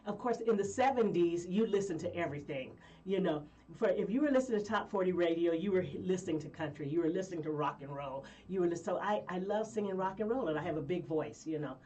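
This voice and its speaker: Woman guest from Colorado, lively rambling storyteller, lower pitch, recorded a little distant. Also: quick pace, few pauses. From Colorado, USA. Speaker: guest singer. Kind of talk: casual interview chat.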